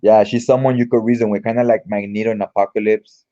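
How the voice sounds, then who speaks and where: A man's voice: fairly low pitch, flat delivery, fast talker, dark tone, highs cut off. A man giving casual read-along comic commentary in an informal, slangy, mildly profane way. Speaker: comic book reviewer.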